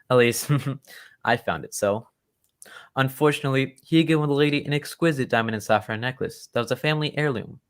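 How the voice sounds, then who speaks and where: Genteel, old-fashioned gentleman storyteller for a radio play, played by a man, mid-pitched, loyal and excitable. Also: natural voice. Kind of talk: old-time radio drama acting.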